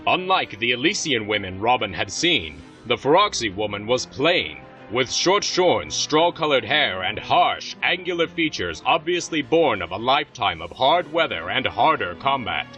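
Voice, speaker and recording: A man narrates a scripted fantasy story as the narrator of an audio drama. His delivery is lively and forceful, captured a touch dully over soft music. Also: medium pitch, moderate pace, few pauses. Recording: slightly dull, music underneath.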